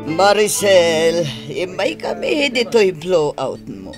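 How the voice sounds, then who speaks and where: A woman's voice: lowish voice, sing-song, slow, over music. A woman playing a probing, motherly and pious older auntie in a radio drama, with an Ilocano accent. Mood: inquisitive. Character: inquisitive, pious, advice-giving older auntie.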